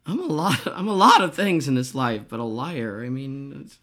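A woman acts a defensive, self-doubting rock singer in a comedic radio play. Her hoarse voice swings widely in pitch as she stumbles and repeats herself, putting herself down with wry humor. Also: big pitch swings, fairly bright tone, full character voice. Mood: hurt, earnest. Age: middle-aged. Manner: halting, repeats words, self-deprecating.

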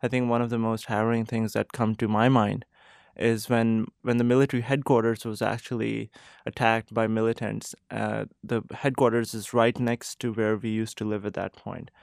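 A man's voice: on the low side, flat delivery, moderate pace, gravelly, no room sound. Young pakistani-accented guy, earnest and careful, dry close sound. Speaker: interview guest, medical resident. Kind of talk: radio interview answers.

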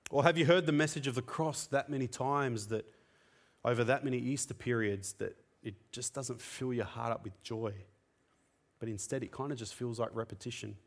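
A man's voice: medium pitch, normal speed. A man whose first language is Tamil, preaching a sermon in an earnest, conversational way with frequent fillers.

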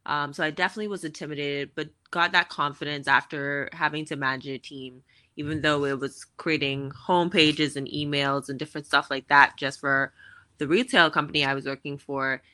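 A woman in her thirties from the Bahamas talks as an interview guest, chatty and upbeat. Her voice sits low yet rings bright and forceful. The sound is dry and close. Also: steady tone, a little fast, smooth, no room sound. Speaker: guest, fashion tech founder. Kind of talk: casual interview conversation.

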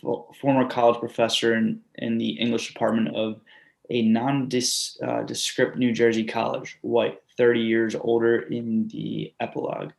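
Male stage-directions reader, heavy vocal fry, fairly even intonation, clipped halting phrases. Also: medium pitch, poor audio. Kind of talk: reading out character and scene descriptions.

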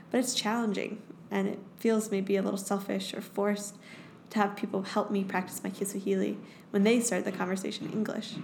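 A young woman with an American accent, hosting a podcast in measured, thoughtful scripted narration. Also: medium pitch, gravelly, a little mellow, a little hiss or hum. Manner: measured, thoughtful, reads in short phrases. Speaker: podcast host and English teaching assistant.

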